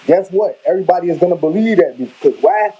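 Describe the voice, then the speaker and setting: A man's voice: high voice, quick pace, slightly rough, muffled recording. Rambling, emphatic Chicago man. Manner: rambling, emphatic, slangy, repeats himself. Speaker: vlogger. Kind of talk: casual live commentary. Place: home kitchen.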